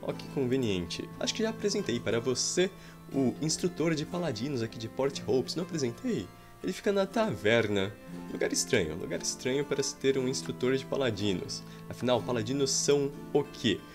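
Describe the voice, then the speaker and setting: A man's voice: mid-range voice, big pitch swings, a little fast. A Brazilian man in his thirties giving jokey commentary over his own gameplay from a small room at home, exclaiming at what happens on screen. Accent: Brazilian Portuguese. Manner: jokey, exclaims and sighs at game events. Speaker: gameplay streamer. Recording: faint game music behind.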